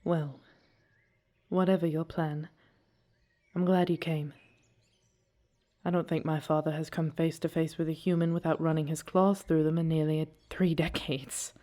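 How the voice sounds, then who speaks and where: A woman's voice: deep voice, little pitch movement, moderate pace, pauses often, warm tone, close to an everyday voice. A woman playing an earnest, devoted dragon princess and commander in an audio drama, speaking in solemn, formal phrases. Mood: relieved, hushed. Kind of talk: scripted audio roleplay drama.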